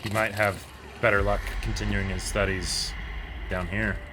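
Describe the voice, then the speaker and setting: A man co-hosting a reaction video, chatting casually with quick, laid-back remarks. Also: lowish voice, flat delivery, noisy background.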